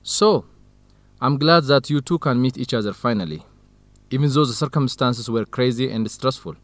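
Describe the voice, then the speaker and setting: A man's voice: medium pitch, normal speed, no room sound, lightly acted. An actor playing a weary, forgiving young man just freed from jail, in a radio drama for English learners. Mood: relieved.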